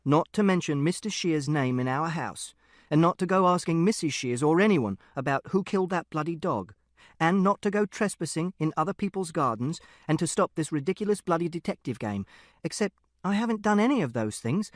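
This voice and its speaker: Man playing an earnest, literal-minded schoolboy, higher-pitched. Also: animated, brisk, dry recording, clean studio sound, close to an everyday voice. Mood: earnest, anxious. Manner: plain, precise, literal.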